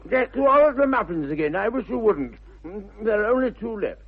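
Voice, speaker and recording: An actor playing a flippant, idle young English gentleman with an upper-class accent and airy, mock-earnest wit, in 1960s radio comedy style. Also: high voice, sing-song, fairly quick, husky, exaggerated voice. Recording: muffled narrow-band vintage radio recording.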